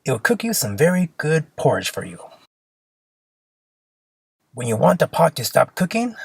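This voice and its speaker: A storyteller plays a mysterious, kindly old woman in a storybook. She gives gentle instructions in a husky, creaky voice with plenty of rise and fall. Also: medium pitch, very animated, normal speed, no echo, studio quality, exaggerated voice. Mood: kindly, instructive.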